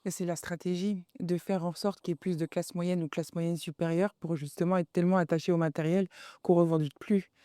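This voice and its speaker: A man's voice: high-pitched, level tone, brisk, dark tone, clear, resonant tone, dry recording, clean studio sound. A man, an interviewer offering conversational commentary in long, linked, explanatory sentences.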